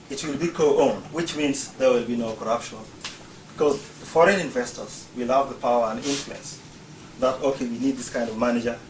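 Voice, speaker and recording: A man's voice: medium pitch, a little hiss or hum. A man from Uganda giving a prepared talk, explaining his points one by one in order. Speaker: speaker. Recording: roomy, distant mic, faint ambience.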